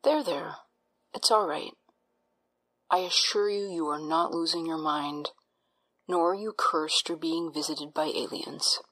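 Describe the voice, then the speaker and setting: A woman playing a doctor in an audio drama, breathy, soothing and clinical, with a patronizing, unsettling edge. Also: on the low side, measured pace, long pauses, a bit bright, heavily acted, rough recording. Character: calm, smoothly reassuring doctor with an unsettling edge.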